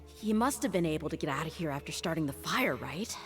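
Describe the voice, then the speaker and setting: A woman's voice: low-pitched, expressive, fairly quick, music in the background. A woman playing a stern, commanding young female mercenary in a video game, firm and blunt. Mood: pensive.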